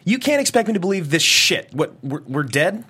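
A man's voice: fairly high pitch, very animated, fairly quick, sharp tone, breathy edge, heavily acted. A man playing an irritable, skeptical businessman in his thirties in a table read of a thriller script. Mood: angry disbelief.

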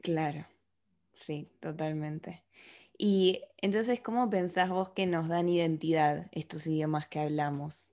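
A woman's voice: low-pitched, fairly even intonation, unhurried, warm tone. A woman hosting a casual chat, asking questions and agreeing briefly. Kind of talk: casual conversation.

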